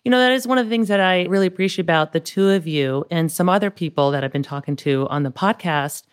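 Low-voiced encouraging woman podcast host. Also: fast, clean tone. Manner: warm, encouraging, admiring. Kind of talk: casual interview.

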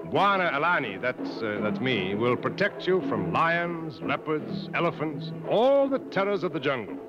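An actor playing a seasoned safari hunter and guide, blunt and dryly wry, in a 1950s radio drama. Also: male voice, a little high, big pitch swings, normal speed. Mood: teasing. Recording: muffled 1950s radio broadcast, music behind.

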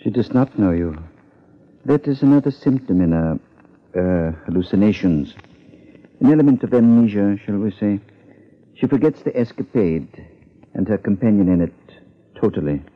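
A man acting a solemn psychiatrist in a 1950s radio drama, speaking in formal, measured, deliberate phrases. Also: on the low side, animated. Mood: grave. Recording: muffled 1950s radio recording.

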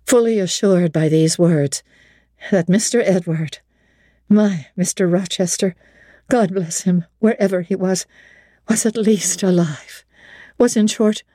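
A woman giving a measured, theatrical dramatic reading of a classic novel in a studio. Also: on the low side, warm tone, slightly rough, no room sound.

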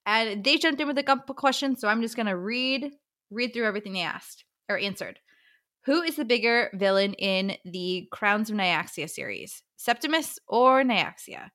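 Woman, podcast co-host, bright forward voice, casual chat, playful, enthusiastic. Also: on the high side, lively intonation, normal speed. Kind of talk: casual chat and reading out listener answers.

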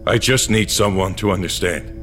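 An actor playing a tormented, brooding man with a gravelly voice in an audio drama. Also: sits low, moderate pace, a bit bright. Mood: anguished, pleading. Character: tormented man who remade his own body, brooding narrator-hero. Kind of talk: audio drama acting and first-person narration. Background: music.

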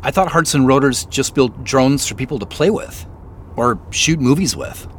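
A man's voice: mid-range voice, animated, moderate pace, a little hiss or hum, natural voice. A man acting in an audio drama as a suspicious, on-edge man investigating a mystery, with a dry, guarded manner. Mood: guarded, wry. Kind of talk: naturalistic audio drama dialogue.

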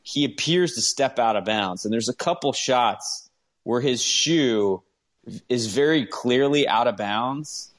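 A man's voice: medium pitch, animated, slow pace. Man bantering emphatically about sports. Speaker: sports show host. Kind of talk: casual sports fan chat.

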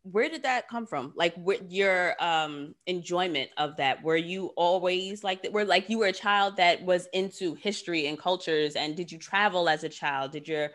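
A woman with a slightly low voice hosts a conversational interview. She puts her questions casually, as in an everyday chat. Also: normal speed, few pauses, fairly bright tone. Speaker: podcast host, interviewer.

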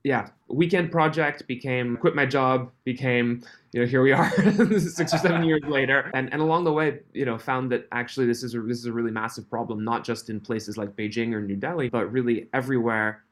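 A man in his thirties, raised in Switzerland, with a mixed, faintly Canadian accent, chatting as a podcast guest, friendly and quick to laugh. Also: mid-range voice, fairly even intonation. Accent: mixed, Canadian-tinged. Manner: friendly, laughs often, restarts phrases. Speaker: podcast guest, company founder. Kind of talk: casual interview chat.

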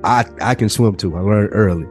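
A man in his thirties co-hosting a podcast, telling stories in a casual, animated way with lots of casual swearing. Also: lowish voice, fairly quick, soft background music. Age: 30s. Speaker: podcast co-host. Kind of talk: casual chat, storytelling.

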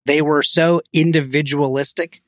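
A man's voice: fairly high pitch, fairly even intonation, normal speed, no room sound, studio quality. Casual man chatting on a podcast. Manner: informal, hedging with frequent "like". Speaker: podcast co-host. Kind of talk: casual film discussion.

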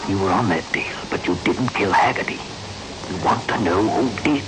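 A man's voice: lowish voice, normal speed, close to an everyday voice. A man playing an insistent, matter-of-fact policeman questioning a suspect in a 1960s radio crime drama. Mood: firm, probing. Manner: firm, probing, matter-of-fact. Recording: noisy old radio recording.

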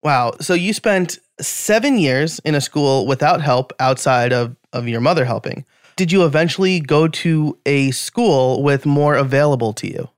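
Higher-voiced male podcast host. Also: normal speed, dry recording, studio quality. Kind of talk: interview questions.

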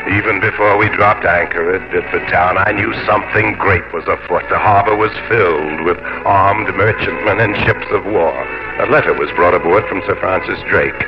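Deep-voiced Elizabethan sea captain. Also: male voice. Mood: brisk narrating. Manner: declamatory, measured storytelling. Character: gallant Elizabethan English sea captain, gruff and earnest. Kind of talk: 1950s radio drama acting, first-person narration. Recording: muffled 1950s radio broadcast, music behind.

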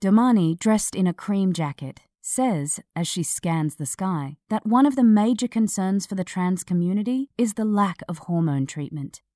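A woman narrating a scripted news article in a measured, serious manner. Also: medium pitch, expressive, mellow, no room sound, studio quality.

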